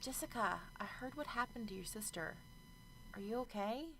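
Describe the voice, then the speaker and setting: Caring student friend, played by a woman, soft and earnest, a touch high. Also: lively intonation, moderate pace, noisy background. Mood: concerned. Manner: gentle, earnest, soft-spoken. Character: concerned young female college student friend. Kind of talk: amateur radio drama acting.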